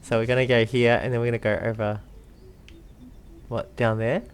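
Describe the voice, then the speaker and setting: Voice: medium pitch, level tone, moderate pace, slight background noise. A gamer giving casual commentary while playing, talking to themselves and viewers with frequent exclamations. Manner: talks to self and viewers, exclaims, giggles often. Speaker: let's play video host.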